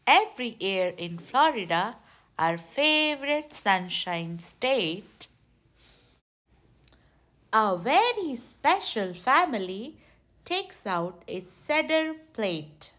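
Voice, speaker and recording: Woman reading a picture book, slow and lively. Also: on the high side. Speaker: children's storybook reader. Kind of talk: read-aloud picture book narration.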